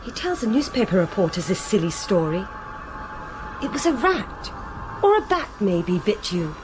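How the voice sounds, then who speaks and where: A woman's voice: mid-range voice, sing-song, moderate pace, noisy background, lightly acted. An actress playing a scolding working mother in an audio drama, brushing off a child's story. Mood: scolding, dismissive.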